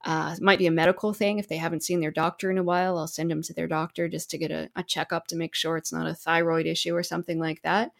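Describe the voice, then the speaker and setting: A woman's voice: low-pitched, little pitch movement, fast talker, creaky, hardly any pauses. A woman speaking as a therapist guest in an interview, explaining advice conversationally and illustrating it with example quotes.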